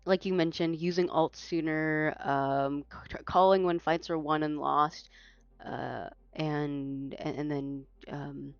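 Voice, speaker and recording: A woman's voice: sits low, steady tone, slow, clean tone, no echo, muffled recording. A woman whose first language is Mandarin, casting an esports tournament in casual chat, rambling with many fillers and restarts. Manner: rambling, self-correcting, frequent fillers. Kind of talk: casual post-match chat.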